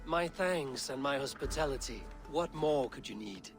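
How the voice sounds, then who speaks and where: A man's voice: mid-range voice, expressive, moderate pace, over music, natural voice. An actor playing a grave, authoritative Norse god-king, stern and wary, in a video game cinematic. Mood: grave, commanding.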